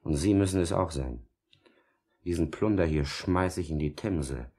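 An actor playing a cold, secretive, impatient villain in a 1950s German radio drama, curt and commanding. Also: male voice, low-pitched, unhurried, darkish tone, slightly rough. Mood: cold menace.

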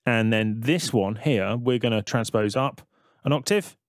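Man, software tutor, casual conversational narration, step-by-step explaining, medium pitch, close dry recording. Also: a little slow, no room sound.

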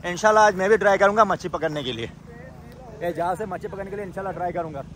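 A young man casually vlogging outdoors at a lake, excitedly pointing things out to viewers. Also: sits high. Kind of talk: casual vlog narration. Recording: noisy outdoor recording with rushing water.

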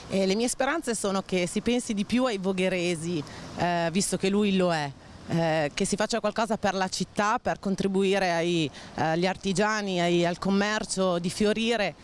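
A woman's voice: medium pitch, moderate pace, slight background noise. A woman, answering a street interview outdoors, earnest and emphatic with some hesitant fillers. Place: sunny town square outdoors. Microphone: handheld interviewer mic.

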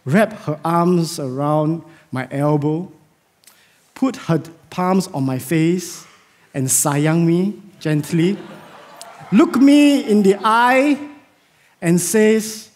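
A man in his thirties with a Singaporean English accent, whose first language is Chinese, preaching a lively sermon on a large church stage into a headset mic. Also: a little high, very slow. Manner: animated, gesturing, chatty with audience asides. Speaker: pastor.

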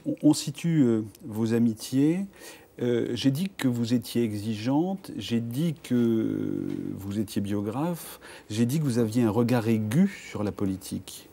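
A man's voice: mid-range voice, animated, measured pace, gravelly, mellow. A middle-aged man hosting a late-night TV interview in a studio, asking probing questions with hesitant restarts. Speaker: host. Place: TV studio set.

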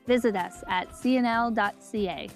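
A woman's voice: fairly high pitch, moderate pace, soft background music. A woman reading an upbeat, polished scripted promotional narration.

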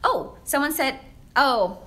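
Bright, chatty young woman on a handheld phone. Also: high voice, lively intonation, takes it slowly. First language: Vietnamese. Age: young adult. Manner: chatty, upbeat, laughs often, many fillers. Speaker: menswear stylist answering viewer questions. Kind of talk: casual live Q&A. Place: high-rise office with large windows, indoors. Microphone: handheld phone at arm's length, shaky.

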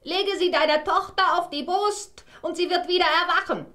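An actress playing a wicked old sorceress in a fairy-tale radio play, theatrical, with gloating, drawn-out menace. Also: female voice, extremely high pitch, bright, forceful tone. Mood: threatening.

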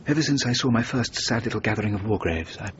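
A man's voice: animated, fast talker, close to an everyday voice. Radio drama: male actor as witty, idealistic architect, middle-aged, urbane, formal; muffled, noisy sound. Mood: reflective. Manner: eloquent, urbane, formal phrasing. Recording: muffled radio recording with rumbling noise.

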